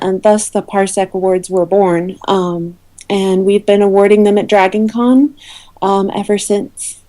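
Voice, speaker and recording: A woman's voice: mid-range voice, mellow. A woman being interviewed as a guest, explaining casually and often hesitating and rephrasing mid-sentence. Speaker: interview guest, awards committee head. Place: remote call into a podcast.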